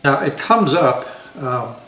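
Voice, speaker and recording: A man's voice: mid-range voice, big pitch swings, takes it slowly, pushed and bright, slight background noise. An older man, sixty or above, giving a casual product demonstration in an easygoing, folksy, plain-spoken way. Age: 60s or older. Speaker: product reviewer. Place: home dining room, indoors. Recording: echoey room, distant microphone.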